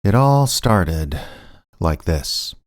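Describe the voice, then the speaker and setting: A man playing a wry, reluctant small-town amateur detective, narrating and talking in a deadpan way in an audio drama. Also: deep voice, very slow, no echo, full character voice. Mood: wry, reflective.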